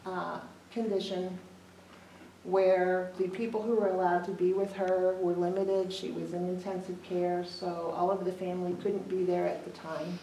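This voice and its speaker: A middle-aged woman, a death doula on a panel, speaking earnestly and in a measured way to an audience. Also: fairly low pitch, flat delivery, dark tone. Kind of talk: personal talk to an audience. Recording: echoey room, far from the mic, some noise.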